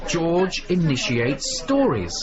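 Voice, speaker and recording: An actor playing a brisk, sardonic and bossy radio news editor in a radio drama. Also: male voice, fairly high pitch, wildly swooping pitch, lo-fi sound, music in the background, heavily acted.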